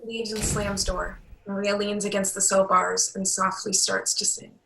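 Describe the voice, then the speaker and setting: A woman narrates a script's stage directions. Her mid-pitched voice is gravelly and flat. There is faint noise behind her. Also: moderate pace, rough recording.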